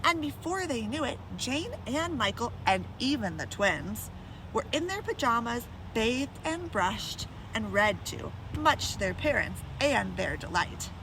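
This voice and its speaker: Expressive high-voiced woman reading a children's story. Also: a little slow, background noise. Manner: addresses young listeners directly with questions. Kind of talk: expressive read-aloud with chatty asides.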